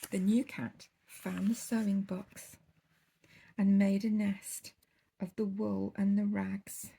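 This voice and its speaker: Female bedtime-story reader: unhurried, expressive, theatrical stresses, creaky edge, faint noise behind. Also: medium pitch. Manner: theatrical, drawn-out emphasis on key words. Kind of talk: expressive children's storybook reading.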